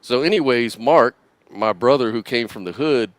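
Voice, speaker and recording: A man telling a rambling story in a relaxed, casual way on a podcast. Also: medium pitch, moderate pace, dry recording.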